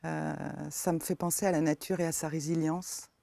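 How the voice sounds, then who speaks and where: Soft-spoken woman with eastern French accent. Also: sits low, little pitch movement, moderate pace, gravelly, no room sound. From eastern France. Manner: soft-spoken, hesitant with many fillers. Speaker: forest therapy guide. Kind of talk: interview answers.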